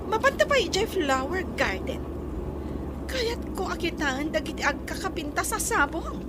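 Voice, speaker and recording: A woman's voice: extremely high pitch, very animated, unhurried, exaggerated voice. A woman playing a bossy wealthy resort owner who gives orders and asks questions. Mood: commanding. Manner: commanding, questioning. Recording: noisy background.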